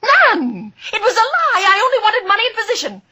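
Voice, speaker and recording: An actress playing a proud, scheming, frightened fake medium in an intense, emphatic old-time radio suspense style. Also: female voice, very high voice, wildly swooping pitch, fairly quick, bright, forceful tone, heavily acted. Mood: panicked pleading. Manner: intense, melodramatic, emphatic repetition. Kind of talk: 1940s-50s radio suspense drama acting. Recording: old radio recording with music.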